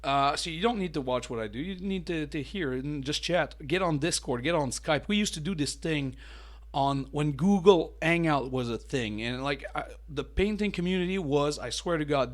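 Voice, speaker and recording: A man hosting a miniature painting channel, chatting casually with a mellow voice, full of fillers and restarts. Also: on the high side, moderate pace. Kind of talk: casual talking-head hobby tips.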